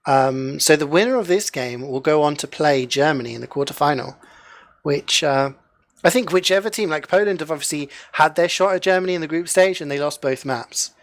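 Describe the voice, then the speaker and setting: A man casting a live online game match in a relaxed, chatty way, leaning on filler phrases and light jokes. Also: fairly high pitch, fairly quick, no room sound. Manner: chatty, heavy use of "you know" fillers, joking. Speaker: esports caster. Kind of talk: casual live game commentary.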